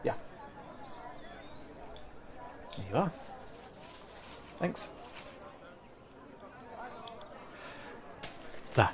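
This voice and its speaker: A man's voice: unhurried, noisy background, close to an everyday voice. A man playing a wary young male cat-person guide in a fantasy audio drama, speaking casually and hesitantly straight to the listener. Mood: casual, awkward. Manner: hesitant, casual, conversational asides.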